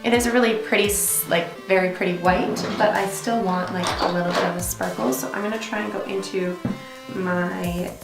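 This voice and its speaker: A woman's voice: fairly low pitch, fairly even intonation, a little fast, vocal fry. A young woman giving a casual, chatty beauty tutorial in an effusive, rambling way, as host. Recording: roomy echo, music behind. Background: music.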